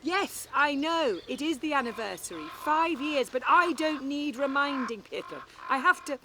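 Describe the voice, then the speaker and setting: An actress playing a weary, wry woman cafe owner, telling her story in an audiobook with an expressive, breathy, conversational delivery. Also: sits high, normal speed, background noise. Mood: exasperated. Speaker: audiobook narrator. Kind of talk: first-person dramatic audiobook narration.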